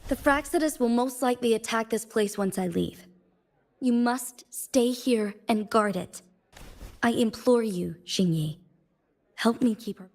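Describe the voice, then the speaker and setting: A woman's voice: on the high side, measured pace, a little mellow. An actress playing a dutiful young female city magistrate in a video game, speaking formally with composed resolve. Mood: solemn resolve. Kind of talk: scripted video game dialogue.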